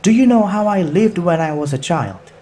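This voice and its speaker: A man voicing an angry, bitter twenty-year-old woman hijacker in an audiobook, speaking with slow, defiant emphasis. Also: high voice, expressive, dark tone, pitched above the performer's own voice. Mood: bitter, impassioned.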